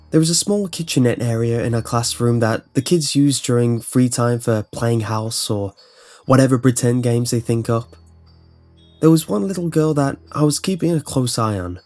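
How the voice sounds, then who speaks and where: A man delivers scripted horror narration in a medium-pitched voice. He tells short first-person anecdotes as a narrator, with music playing behind him. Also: warm tone.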